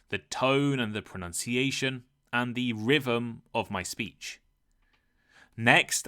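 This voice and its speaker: A man hosting a podcast, talking through a clear, measured, scripted lesson. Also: mid-range voice, sharp tone. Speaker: podcast host. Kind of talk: scripted educational monologue.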